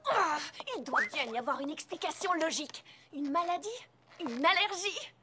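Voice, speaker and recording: A woman's voice: extremely high pitch, expressive, normal speed, heavy background noise. A woman voicing a bookish, earnest young female pony magician in a cartoon dub, lecturing and emphatic. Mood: distressed. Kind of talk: animated cartoon dub acting.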